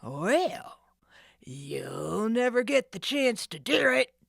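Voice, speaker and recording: Menacing male thief, voiced by a woman, snarling, sneering, slow and deliberate, storybook villain. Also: medium pitch, expressive, dry recording, studio quality. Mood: menacing whisper.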